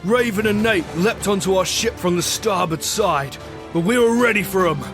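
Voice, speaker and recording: High-pitched man acting a boisterous pirate captain. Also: moderate pace, natural voice. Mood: storytelling. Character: boisterous, commanding male pirate captain telling his sea tale. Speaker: narrator and captain. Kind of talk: dramatic storytelling with pirate voice acting. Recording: music behind the voice. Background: music.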